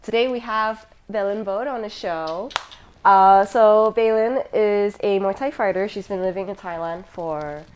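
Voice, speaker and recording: Woman host, casual interview chat, mid-range voice, relaxed filler-heavy delivery. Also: medium pitch, unhurried, a little hiss or hum. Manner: chatty, friendly, with frequent fillers and laughs. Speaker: podcast host.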